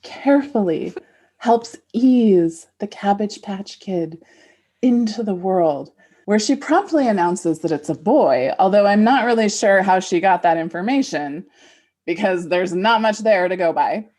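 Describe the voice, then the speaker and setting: A woman's voice: medium pitch, expressive, a little slow, dark tone. A woman co-hosting a podcast in casual comedic chat, narrating with playful mock-seriousness and dropping in wry asides. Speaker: podcast co-host; midwife.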